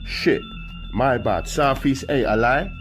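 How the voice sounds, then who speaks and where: A man's voice: sits low, animated, fairly quick, darkish tone, soft background music. A man voicing a cocky, streetwise young male prisoner with London street slang. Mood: shouting. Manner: laid-back, cocky.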